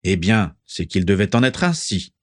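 A man's voice: fairly low pitch, big pitch swings, normal speed, no echo, studio quality. A man voicing a harsh old aristocratic father in a storybook, contemptuous and biting. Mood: irritated. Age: older.

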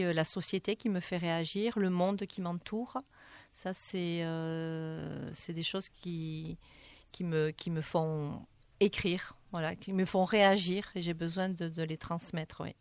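A woman with a lowish, smooth voice talks through her answers in a radio interview. She hesitates often and restarts her sentences. The studio sound is close and dry. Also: no room sound. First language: French. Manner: halting, many fillers and restarts. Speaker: singer, interview guest.

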